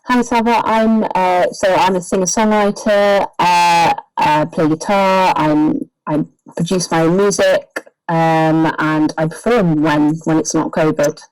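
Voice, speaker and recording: A woman's voice: lowish voice, steady tone, unhurried, few pauses, darkish tone, smooth, rough recording. A woman with a Welsh accent giving casual interview answers, thinking aloud with some hesitation. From Wales. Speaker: singer-songwriter being interviewed.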